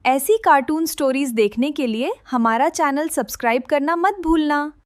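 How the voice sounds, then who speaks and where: A woman's voice: high-pitched, moderate pace, dry recording, faint music behind. A woman delivering a scripted promo as a channel announcer.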